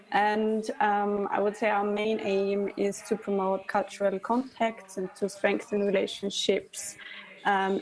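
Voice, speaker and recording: Give me a woman speaking in a level, even tone, hesitant and friendly. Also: mid-range voice, rough recording. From Austria. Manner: friendly, hesitant, many ums. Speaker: cultural forum music officer. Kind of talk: conference introduction.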